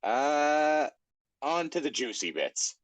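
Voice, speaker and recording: A man's voice: a little high, big pitch swings, slow, dry recording. A man in a casual group chat, reading a story aloud with sweary, self-deprecating asides.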